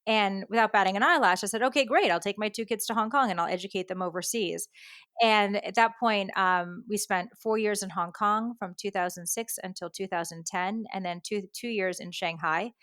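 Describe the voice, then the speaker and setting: A woman in her forties from the United States, a podcast guest telling her story in a brisk, candid way. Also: mid-range voice, a bit bright, no room sound, clean studio sound. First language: Japanese. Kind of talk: podcast interview.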